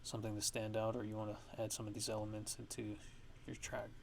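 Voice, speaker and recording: A man giving a casual music production tutorial in a halting, laid-back, ASMR-style way, talking offhand. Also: on the low side, monotone, vocal fry, a little mellow, a little hiss or hum.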